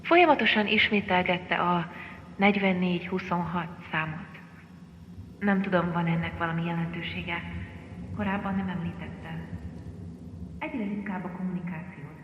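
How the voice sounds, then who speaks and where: An actress playing a woman recording a log of sparse contact, measured and matter-of-fact, for an audio drama. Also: fairly low pitch, steady tone, natural voice. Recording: dull radio-like sound, faint noise.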